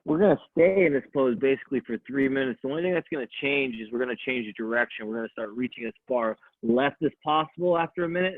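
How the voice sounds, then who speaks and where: A man coaching an at-home stretching class, calmly counting down holds and talking in a soothing voice. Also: medium pitch, no room sound. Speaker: fitness coach. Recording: tinny, dry phone-like audio.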